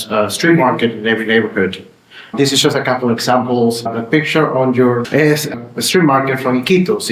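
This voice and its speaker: Peru-born man presenting in an echoey hall. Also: mid-range voice. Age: 40s. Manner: friendly, loose, with frequent "uh" fillers. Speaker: city planning staffer presenting. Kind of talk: conference presentation. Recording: echoey room.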